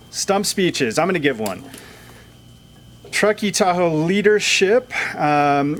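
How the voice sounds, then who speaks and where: A man's voice: on the high side, a bit bright, slight background noise. A man hosting a community breakfast meeting, calling up speakers and reading announcements in an informal, upbeat way. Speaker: meeting host.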